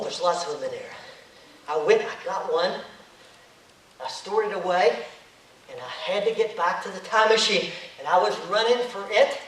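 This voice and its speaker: Animated man telling a tall tale. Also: extremely high pitch. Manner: animated storyteller, acting out the action. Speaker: contest speaker. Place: hotel conference room. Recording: echoey room, recorded from far off.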